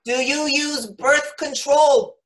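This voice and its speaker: Actress as a slow-spoken, frank old mother. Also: sits high, steady tone, rough recording. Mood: frank, probing. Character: frank, wistful elderly mother of seven, plainspoken and rueful. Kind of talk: staged reading of a play.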